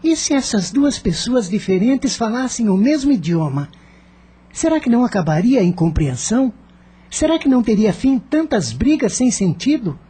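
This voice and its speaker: A woman's voice: a little high, sing-song, normal speed, mellow, husky, full character voice. A woman playing an earnest, idealistic teenage schoolboy who thinks aloud and questions things, in a radio drama. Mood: hopeful.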